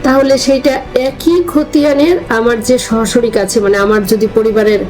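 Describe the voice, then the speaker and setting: A woman's voice: a little high, steady tone, moderate pace, rough recording. A woman, a co-host who explains law in a deferential way, chiming in to clarify. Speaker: co-host, former district judge. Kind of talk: explanatory legal discussion.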